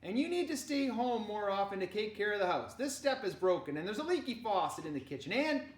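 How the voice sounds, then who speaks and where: A woman voicing a brisk, fussing mother who scolds her brother playfully in a storybook reading. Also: fairly high pitch, big pitch swings, pitched above the performer's own voice. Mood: brisk, teasing. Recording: roomy echo.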